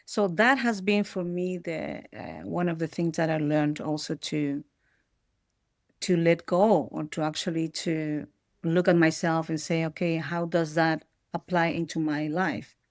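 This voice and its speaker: A woman's voice: low-pitched, fairly even intonation, unhurried, dry recording, studio quality. A middle-aged woman from Peru, raised in the Netherlands, speaking as an as a podcast guest, reflective and often restarting her sentences. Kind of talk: reflective personal interview.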